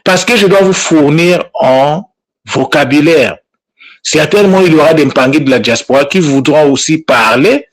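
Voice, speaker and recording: A man's voice: fairly high pitch, moderate pace. A man speaking informally and insistently to his online group as their language teacher, repeating himself and scolding now and then. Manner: insistent, admonishing, with frequent repetitions. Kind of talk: informal spoken address to followers.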